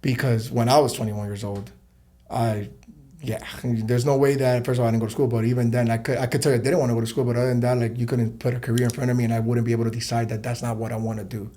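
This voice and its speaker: A man's voice: medium pitch, little pitch movement, fast, warm tone. A man in his thirties hosting a podcast interview, chatty and casual, who swears freely. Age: 30s. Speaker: podcast host.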